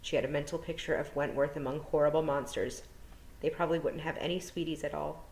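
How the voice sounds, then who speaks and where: A woman's voice: sits low, steady tone, quick pace, a little mellow, a little hiss or hum. A woman narrating a children's fantasy novel as a storytime reader. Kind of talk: storybook narration read aloud. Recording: roomy echo.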